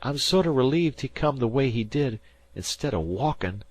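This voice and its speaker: A man's voice: medium pitch, animated, normal speed, darkish tone, breathy edge, no room sound, pitched above the performer's own voice. A man voicing a frightened teenage fisherman boy who talks in rustic old-time dialect. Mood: spooked.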